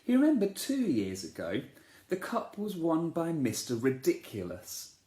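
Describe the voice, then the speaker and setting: A man's voice: fairly high pitch, unhurried. Playful man reading a children's story. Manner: playful, expressive, asks the listener questions. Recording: echoey room.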